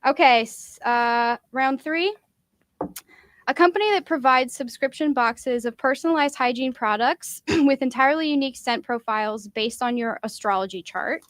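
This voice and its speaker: A woman hosting a podcast quiz game, casually reading out each round and chatting in between, sometimes clearing her throat. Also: sits high, moderate pace, a bit bright, dry recording.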